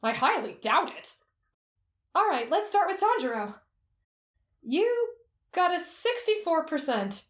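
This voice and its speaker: A woman playing a bright etiquette teacher. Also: very high voice, big pitch swings, unhurried, long pauses, echoey room, exaggerated voice. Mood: teasing. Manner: bright, instructive, teasing. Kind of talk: ASMR roleplay.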